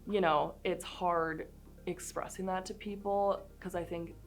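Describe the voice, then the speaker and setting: A woman's voice: on the low side, fairly even intonation, a little slow. A woman in her twenties, a recent graduate, speaking candidly in an interview. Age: 20s. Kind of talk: documentary interview.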